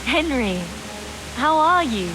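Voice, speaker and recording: A woman's voice: high voice, very animated, takes it slowly, heavily acted. An actress playing a devout, caring medieval woman in a video game, earnest and full of concern. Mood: alarmed. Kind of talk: video game dialogue acting. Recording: music clearly behind the voice.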